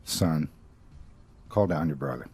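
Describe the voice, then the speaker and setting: An actor playing a stern, matter-of-fact, authoritative police officer in a horror audio drama. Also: male voice, very deep voice, slow, a little mellow, breathy edge, faint music behind, exaggerated voice. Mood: firm.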